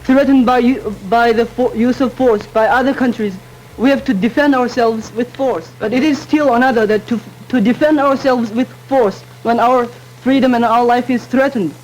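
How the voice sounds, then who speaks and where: A man's voice: extremely high pitch, steady tone, normal speed, darkish tone, dull audio, slight background noise. A teenage boy, a student debater in a panel debate who speaks hesitantly, with many fillers and restarts. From Korea. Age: teens.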